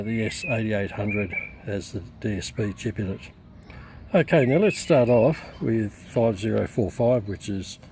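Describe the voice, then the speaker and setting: An Australian man with a low, mellow voice talks through an unscripted product review. He rambles a little and pauses often as he looks for words. The recording is made outdoors and has clear background noise. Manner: hesitant, rambling delivery with frequent pauses. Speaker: radio reviewer. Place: outdoors in a backyard.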